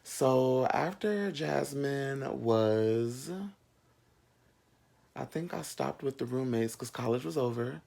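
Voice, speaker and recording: A man's voice: mid-range voice, slow pace, gravelly, a little mellow. A young American man vlogging casually, telling stories to viewers in a sassy, gossipy, playful way full of slang. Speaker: vlog host. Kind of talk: casual chatty storytelling to viewers.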